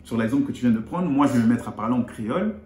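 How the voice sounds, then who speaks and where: A man from Congo explains scripture in a relaxed, conversational tone, his voice sitting at an average pitch and lifting on key points. The room around him is echoey. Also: rapid-fire. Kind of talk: explaining scripture in casual chat.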